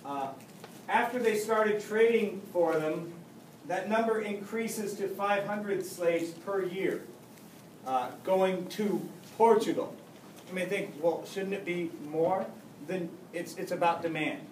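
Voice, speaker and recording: A man's voice: high voice, slow pace. Joking male teacher in an echoey classroom. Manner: joking, chatty, with many restarts. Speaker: high school history teacher. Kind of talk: casual classroom lecture. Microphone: camera far across the room. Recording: echoey classroom, faint hum, mic far off.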